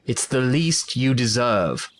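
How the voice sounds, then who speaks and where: A man's voice: mid-range voice, animated, slow, a little hiss or hum. An actor playing a defiant, earnest local man in an audio drama, speaking emphatically. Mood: stern. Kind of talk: full-cast audio drama acting.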